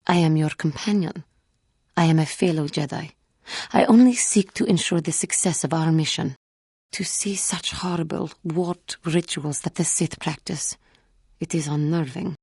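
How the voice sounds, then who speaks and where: A woman playing a wounded, earnest female alien Jedi in a video game, speaking in formal, measured phrases. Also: sits low, warm tone, breathy edge, dry recording, studio quality. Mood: earnest, hopeful, then troubled, grave. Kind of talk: scripted video game character dialogue.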